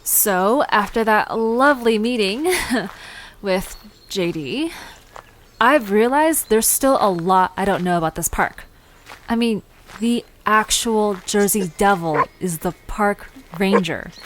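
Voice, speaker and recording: A woman's voice: medium pitch, animated, slow, a little hiss or hum. A woman playing a curious, easily puzzled young visitor in an audio drama, talking in an informal, wry, inquisitive way. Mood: eager. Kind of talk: conversational audio drama dialogue.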